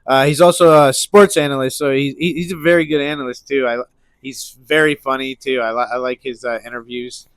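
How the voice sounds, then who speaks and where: A man's voice: medium pitch, steady tone, brisk, a bit bright, clear, resonant tone, no echo. A man with a stuffy nose hosting casual solo sports talk, rambling with lots of restarts and fillers. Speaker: MMA commentary channel host.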